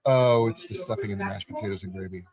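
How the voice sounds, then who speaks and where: A man's voice: low voice, quick pace, a crowd in the background. A man chatting casually and leading the questions, jokey and enthusiastic, who often repeats his words as he speaks. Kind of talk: casual chat.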